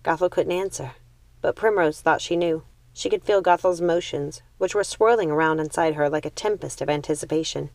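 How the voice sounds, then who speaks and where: A woman's voice: sits low, brisk, vocal fry, a little mellow, no room sound. Woman narrating a story, dry close sound. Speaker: audiobook reader.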